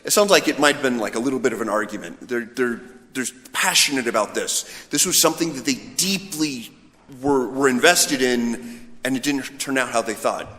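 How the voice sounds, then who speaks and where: A man's voice: mid-range voice, normal speed, a bit bright. Male priest, conversational homilist, storyteller's delivery, long church reverb around the voice. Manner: storytelling, voicing imagined dialogue. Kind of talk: informal, conversational sermon. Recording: echoey hall with long reverb.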